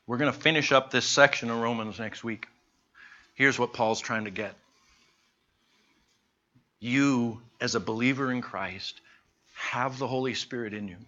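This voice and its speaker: A man teaching a Bible class in an earnest, conversational way, slowing down to stress his points. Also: medium pitch, sharp tone. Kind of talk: sermon-style Bible teaching. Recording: dull lo-fi recording.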